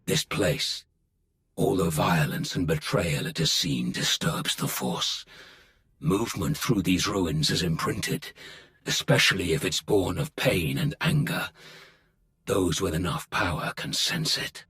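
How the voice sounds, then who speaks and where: An actor playing a menacing, commanding Sith lord in a video game, speaking in a slow, ominous, deliberate way. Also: male voice, a bit bright, heavily acted. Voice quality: deep, gravelly. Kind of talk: video game cutscene acting.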